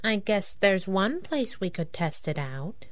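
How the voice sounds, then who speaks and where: Sassy, animated radio sidekick. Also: female voice, medium pitch, unhurried. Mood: teasing, flirty.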